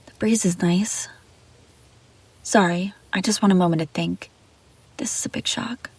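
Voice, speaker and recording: A woman's voice: on the low side, fairly even intonation, measured pace, a little mellow, breathy edge, no room sound. A woman playing an obsessive, scheming young babysitter in an intimate audio roleplay, pleading and defensive. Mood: hurt, hushed. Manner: pleading, defensive, hesitant then insistent. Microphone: close, dry microphone.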